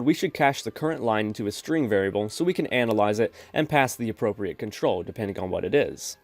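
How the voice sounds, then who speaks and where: Steady man narrating a coding tutorial. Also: mid-range voice, no room sound. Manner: steady explanatory, addresses viewers as folks. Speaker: programming tutorial host. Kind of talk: screen-recorded coding tutorial.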